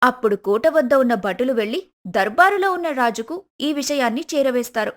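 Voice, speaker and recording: A woman's voice: high-pitched, lively intonation, a little fast. A woman reading scripted story narration as a storyteller.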